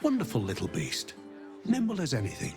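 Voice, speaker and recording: A man's voice: mid-range voice, huge pitch swings, fairly quick, creaky. An actor playing a confused, cheerful well-to-do man with lost memories in a video game, rambling absent-mindedly. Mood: dreamy delight. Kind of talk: video game character dialogue. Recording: music behind the voice.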